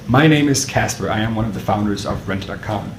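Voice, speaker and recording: A man's voice: fairly low pitch, fast talker, a little hiss or hum. A man, a startup co-founder speaking casually and bluntly. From Denmark. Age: 20s. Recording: echoey room.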